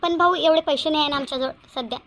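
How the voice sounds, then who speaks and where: A woman puts on an eager, gullible village housewife for a cartoon moral story. The pitch is extremely high and the chatter races along. She speaks colloquial Marathi with a rural Vidarbha accent. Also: very fast, fairly bright tone. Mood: eager, trusting.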